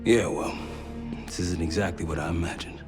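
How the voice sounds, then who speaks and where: Low-voiced young American agent, played by a man. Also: fairly even intonation, moderate pace, lightly acted. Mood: casual, impatient. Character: earnest young male covert agent, loyal, unsure. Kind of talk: naturalistic video game cutscene acting. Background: dramatic music.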